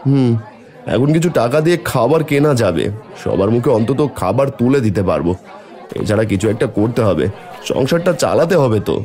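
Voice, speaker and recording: Man acting an earnest, animated poor village husband. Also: mid-range voice, fairly quick, a faint crowd, natural voice. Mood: determined. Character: honest, worried poor village husband and father. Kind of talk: cartoon voice acting.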